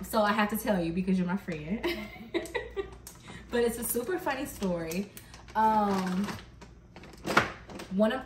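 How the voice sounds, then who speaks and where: A woman's voice: mid-range voice, a little hiss or hum. A woman in her thirties chatting to her viewers while she cooks dinner at home, telling a story and laughing often. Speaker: mom vlogger. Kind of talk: cook-with-me vlog chat. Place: home kitchen, indoors. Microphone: camera across the kitchen. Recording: echoey kitchen, camera mic at a distance.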